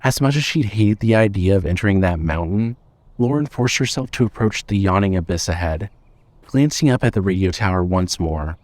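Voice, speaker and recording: A man's voice: deep voice, expressive, a little fast, darkish tone, no echo. A man reading scripted fiction as the narrator of an audio story.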